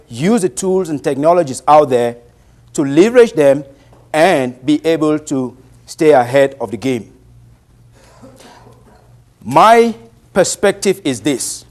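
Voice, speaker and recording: Higher-pitched Cameroonian man giving a talk. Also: a little mellow. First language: a Bantu language. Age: late 30s. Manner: deliberate, emphatic, uses rhetorical questions. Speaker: public speaker, presenter. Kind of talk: motivational presentation to an audience.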